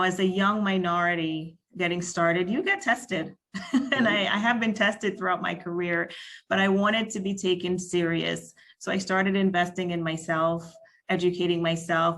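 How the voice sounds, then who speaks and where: Flat low-voiced woman panelist. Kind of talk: panel discussion.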